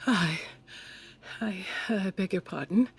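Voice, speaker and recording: An actress playing a wealthy, haughty 1920s widow with an arch, sardonic manner in an audio drama. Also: female voice, medium pitch, slow, husky, full character voice. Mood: stunned. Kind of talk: period audio drama acting.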